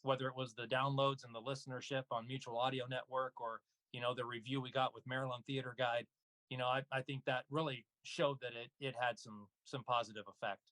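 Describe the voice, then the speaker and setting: A man's voice: mid-range voice, level tone, fairly quick, fairly bright tone, rough recording. A man speaking casually as an interview guest, an actor and narrator talking about his own work.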